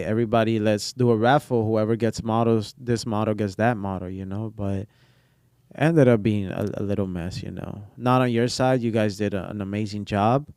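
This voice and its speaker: A young man with Portuguese as his first language chats easily about his barbering travels, his low voice smooth and resonant. He wanders through his thoughts with fillers and restarts. The sound is close and dry. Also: no echo. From Brazil. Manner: relaxed, rambling with many fillers. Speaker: barber guest. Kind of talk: casual interview chat.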